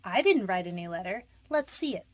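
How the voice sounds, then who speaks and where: A woman's voice: medium pitch, sing-song, brisk, slight background noise, lightly acted. An actress playing a frightened but defiant young convicted woman in a radio detective drama, defensive, pleading and slangy.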